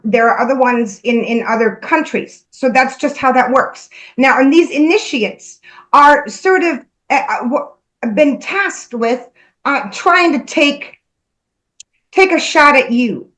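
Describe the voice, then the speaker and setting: A woman's voice: a little high, a bit bright, low-quality recording. A woman speaking as a spiritual medium in casual conversation, earnestly relaying messages she says she hears.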